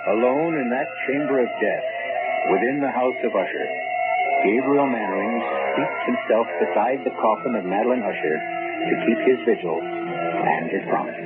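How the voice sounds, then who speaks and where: A man's voice: fairly low pitch, normal speed, over loud music, close to an everyday voice. A man as the ominous host of a radio horror tale, narrating in a grave, portentous, scripted style. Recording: muffled phone-like radio recording.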